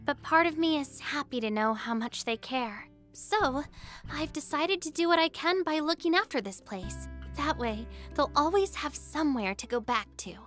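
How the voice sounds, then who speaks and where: A woman's voice: sits high, expressive, normal speed, a bit bright, faint music behind. A woman playing a good-natured, candid young woman in a video game, speaking casually. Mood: wistful, resolved.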